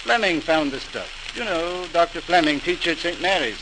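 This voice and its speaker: Male as an informative, talkative medical colleague for old-time radio; high voice, noisy vintage recording. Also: expressive, normal speed. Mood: eager, informative. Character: chatty, well-informed male doctor sharing medical news. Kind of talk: 1940s radio acting.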